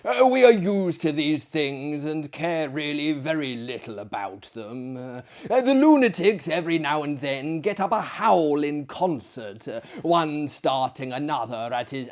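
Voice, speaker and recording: A man acts out an excitable asylum director in a storybook tale. He blusters and emphasizes his words theatrically, quick to take offence yet genial as a host, taking his time over his phrases in a raised voice. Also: a little high. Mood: breezy, reassuring.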